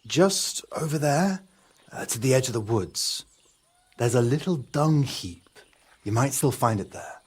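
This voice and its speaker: A superstitious medieval villager in a video game. His voice is husky and mid-pitched. He speaks in a folksy, hesitant way, wary of who might be listening. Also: lively intonation, unhurried. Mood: uneasy. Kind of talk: dramatic game dialogue acting.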